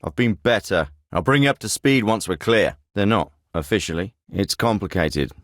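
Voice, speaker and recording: Actor voicing a terse swearing soldier. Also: male voice, lowish voice, lively intonation, normal speed, no echo, lightly acted. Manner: terse, profane and matter-of-fact. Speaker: military team leader. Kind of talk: video game voice acting. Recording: dry studio recording.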